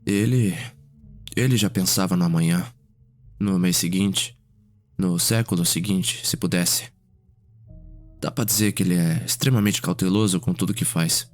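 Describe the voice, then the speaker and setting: Male, low voice, ASMR roleplay as Cedric-type vampire noble: reflective, unhurried storytelling over music. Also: steady tone.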